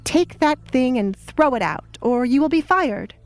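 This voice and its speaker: Casting: female narrator as a harsh middle-aged noblewoman, cold and commanding, raised pitch, audio drama over music. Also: animated, unhurried, no room sound. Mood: cold, threatening. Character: harsh, imperious middle-aged baroness stepmother. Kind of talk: audio drama character acting.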